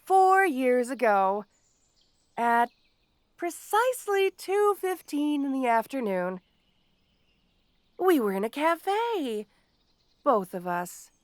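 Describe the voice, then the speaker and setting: A woman playing a petty, obsessive supervillain in an audio roleplay, gloating haughtily and speaking straight to the listener with theatrical pauses. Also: high voice, very animated, no echo, full character voice. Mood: menacing, aggrieved. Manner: gloating, haughty, dramatic pauses and sudden swoops. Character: petty, obsessive, melodramatic female supervillain with a grudge.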